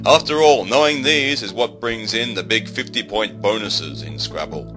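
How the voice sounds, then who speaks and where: A man reading scripted documentary narration in a measured, wry way. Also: lowish voice. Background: music.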